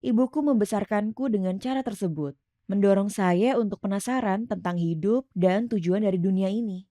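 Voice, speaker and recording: A woman's voice: mid-range voice, normal speed, mellow, no echo, studio quality. A middle-aged woman from East London, telling her life story in an earnest, reflective interview. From East London, England. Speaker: interview guest. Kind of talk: personal testimony in an interview.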